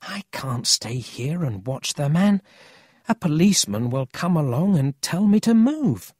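A narrator voicing an earnest, cautious young country boy who is serious and quick to bristle in a children's storybook. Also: medium pitch, very animated, a little mellow, dry recording, studio quality, pitched above the performer's own voice. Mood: wary, serious.

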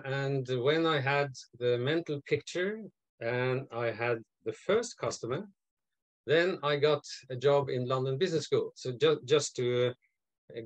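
A man's voice: medium pitch, no room sound. An older man from Norway telling a story on a video call, hesitating with fillers and restarts. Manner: hesitant, many fillers and restarts, lively retelling. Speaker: guest, advisor and mentor. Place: home room, indoors.

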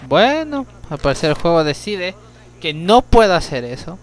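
A young man giving chatty gameplay commentary, talking to himself, swearing at the game and breaking into sudden yells. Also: on the high side, big pitch swings, moderate pace, a little hiss or hum. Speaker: let's play gamer.

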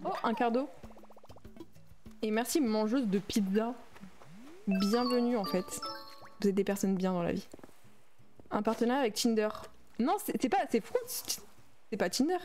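A woman's voice: on the high side, lively intonation, a little slow, long pauses, a little mellow, soft background music. A young adult woman streaming a game, chatting casually and reading and answering her viewers' messages aloud. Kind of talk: casual livestream chat while playing a game.

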